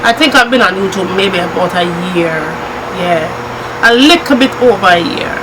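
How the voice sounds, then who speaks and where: A woman's voice: medium pitch, animated, moderate pace. Chatty Jamaican woman, noisy room. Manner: chatty, laughs often, patois phrases. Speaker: cooking channel host. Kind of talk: casual chat.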